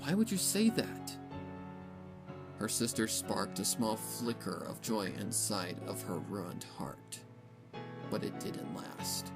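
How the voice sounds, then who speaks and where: Very deep-voiced woman narrating a dramatic story. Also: vocal fry. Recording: music behind the voice.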